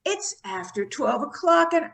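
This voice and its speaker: A woman playing a kindly, sensible aunt with a gentle manner in an old-time radio drama. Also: sits high, very animated, unhurried, vocal fry, heavily acted. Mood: kindly, tired.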